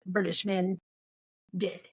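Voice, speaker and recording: Woman podcast co-host, forties, casual book talk, halting and reflective delivery, close dry recording. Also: on the low side, little pitch movement, husky, no room sound.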